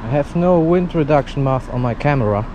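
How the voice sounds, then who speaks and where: A young man chatting casually in halting, simple phrases as he records a walking vlog. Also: mid-range voice, animated. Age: young adult. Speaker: walking vlogger. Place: outdoors, suburban street and park path. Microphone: action camera at arm's length, no windscreen. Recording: windy outdoor camera mic, street noise.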